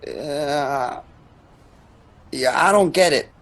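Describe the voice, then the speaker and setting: A man's voice: on the high side, extremely slow, fairly bright tone, exaggerated voice. An actor playing a skeptical, persistent man in a fan-made drama, hesitating and repeating himself as he questions things. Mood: doubtful.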